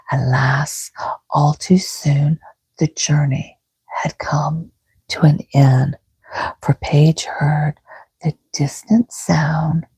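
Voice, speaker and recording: A woman reads a bedtime story in a very breathy near-whisper with a low, soft pitch. She takes her time, gentle and soothing, in an ASMR style. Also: no echo. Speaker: host reading bedtime stories.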